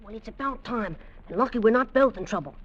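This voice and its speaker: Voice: medium pitch, expressive, fast talker, close to an everyday voice. An actor playing an impatient, mocking young army drummer boy, bossy and scoffing, in an old-time radio drama. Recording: dull old radio recording.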